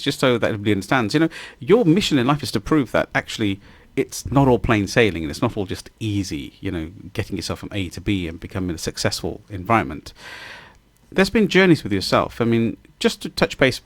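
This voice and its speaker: Effusive male radio host, lively rising-falling delivery, dry close studio mic. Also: fairly low pitch, dry recording. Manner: effusive, rambling, lively intonation. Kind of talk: live radio interview.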